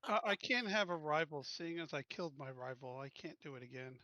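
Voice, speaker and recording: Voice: medium pitch, expressive, fairly quick, sharp tone, dry recording, low-quality recording. A player in a tabletop roleplaying game who plans aloud and stammers through restarts. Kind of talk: casual tabletop game planning.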